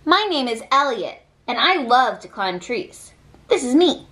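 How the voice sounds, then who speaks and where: A woman with a fairly high voice reads a children's picture book aloud. Her pitch swings in a very lively way, and she phrases each short line deliberately, leaving pauses between them. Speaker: read-aloud reader.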